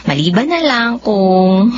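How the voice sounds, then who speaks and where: A woman plays a cheerful, teasing young Filipino woman in a radio drama. She speaks slowly at a medium pitch. The recording is dull. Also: very animated. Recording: dull lo-fi audio with faint noise.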